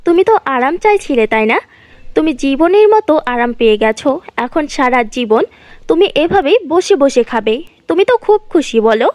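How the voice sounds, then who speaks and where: Woman acting a ghost mother, high voice, bitter, taunting, reproachful, cartoon fairy tale, dry sound. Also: big pitch swings, normal speed, darkish tone, dry recording. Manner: bitter, taunting rhetorical questions.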